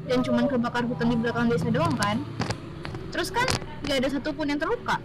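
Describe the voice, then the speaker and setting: A woman's voice: fairly high pitch, fast, heavy background noise, natural voice. A woman playing a persuasive dragon keeper in a Minecraft roleplay, placating and justifying herself.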